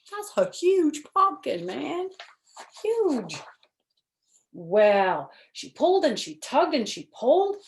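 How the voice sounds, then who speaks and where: A woman reads a children's picture book aloud like a primary teacher. Her voice sits a little high and swings expressively, with slow, deliberate phrasing. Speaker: storyteller, first-grade teacher.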